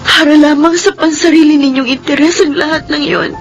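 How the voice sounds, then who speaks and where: A woman's voice: high-pitched, fairly even intonation, normal speed. An actress playing an earnest, emotional grown daughter, guilt-ridden and yearning for her mother, in a Filipino radio drama. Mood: tearful, remorseful. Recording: radio drama with strong music underneath.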